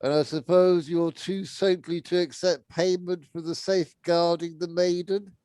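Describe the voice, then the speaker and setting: A man's voice: sits high, fairly even intonation, normal speed, mellow, clean tone, no room sound, pitched above the performer's own voice, natural voice. A man reading the part of a smug, callous military commander in a storybook, taunting and sneering as he talks.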